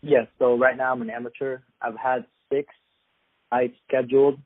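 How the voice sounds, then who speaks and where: A man's voice: medium pitch, little pitch movement, normal speed, no echo. A young man from Afghanistan, a guest fighter, answering casually with lots of fillers. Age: young adult. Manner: loose, filler-heavy, plain-spoken. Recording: husky, tinny phone-like line.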